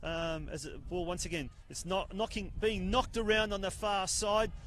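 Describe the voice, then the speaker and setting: A man giving live football commentary outdoors at a ground, booming and excitable, holding a handheld mic at arm's length. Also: moderate pace. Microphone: handheld mic held at arm's length.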